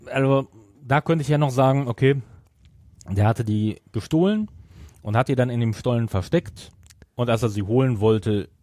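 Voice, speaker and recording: A man's voice: medium pitch, normal speed, warm tone, no echo, studio quality. A man co-hosting a podcast in casual conversation with the others. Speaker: podcast co-host. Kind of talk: casual chat.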